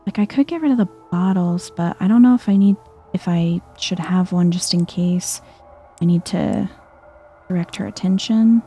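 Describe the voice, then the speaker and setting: A soft-spoken woman giving chill, low-key ASMR gameplay commentary, murmuring to herself and sometimes slipping toward a whisper. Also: normal speed, soft background music. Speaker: gaming video host.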